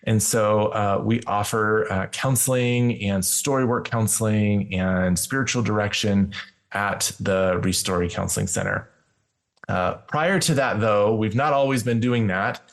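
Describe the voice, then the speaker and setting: A man in his fifties from Colorado, a counselor, answering interview questions in a measured way with filler pauses. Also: on the low side. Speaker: counselor, podcast guest.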